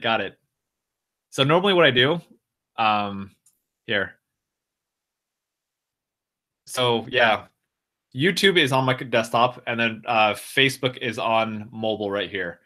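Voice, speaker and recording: A man's voice: mid-range voice, sharp tone. An American man hosting a casual live stream, talking straight to his viewers and reading out their chat comments in a loose, rambling way with many restarts.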